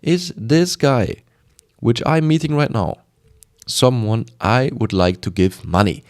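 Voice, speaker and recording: A man's voice: mid-range voice, slow. A man with a strong German accent giving informal sales advice to camera, emphatic and repetitive, often acting out short bits of dialogue. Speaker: sales coach presenting a video.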